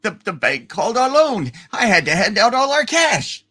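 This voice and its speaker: A man playing a hapless, panicky older uncle in a hammed-up radio play reading, halting and flustered. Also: high-pitched, very animated, sharp tone, breathy edge, exaggerated voice.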